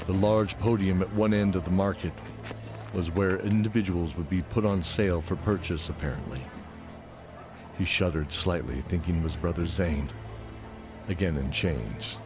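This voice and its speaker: A man narrates a fantasy story in a scripted, dramatic style. His voice is very low, and music plays clearly behind him. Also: moderate pace.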